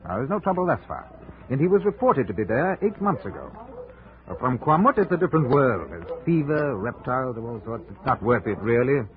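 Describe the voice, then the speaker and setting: A man's voice: medium pitch, sing-song, fairly quick, natural voice. Man playing a fussy, chatty British colonial official. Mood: fussy warning. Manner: fussy, rambling, hesitant, wistful chuckles. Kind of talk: old-time radio drama acting. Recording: muffled vintage radio recording.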